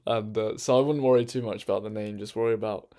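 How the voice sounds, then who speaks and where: A man's voice: on the low side, dark tone. A man chatting casually on a live stream from his bedroom, rambling with lots of ums and restarts.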